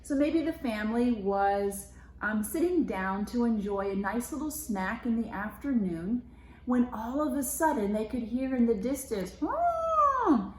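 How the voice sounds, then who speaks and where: A woman's voice: fairly high pitch, normal speed, warm tone. An American woman, a school media specialist, talking casually and directly to children and explaining activities in a read-aloud lesson. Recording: roomy echo with background noise.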